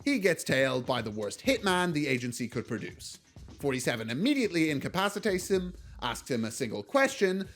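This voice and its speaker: A man narrating a scripted video essay as a film reviewer, in a wry, casual, joking manner. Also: mid-range voice.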